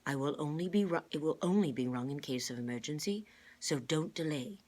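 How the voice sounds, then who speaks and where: A woman voicing a kindly, courteous gentleman in charge in a storybook, calm and deliberate. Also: low-pitched. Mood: calm, serious.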